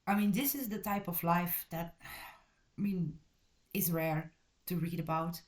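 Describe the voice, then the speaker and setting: Host, female, from Belgium, lowish voice, casual review delivery, rambling and self-correcting, blunt. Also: steady tone, unhurried. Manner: blunt and direct. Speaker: channel host reviewing a book.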